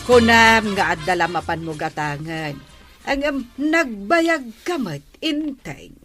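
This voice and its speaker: A woman playing a sharp-tongued, long-suffering captain's wife in an Ilocano radio drama, teasing and scolding with an Ilocano accent. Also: medium pitch, animated, slow pace, loud music behind the voice. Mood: reproachful.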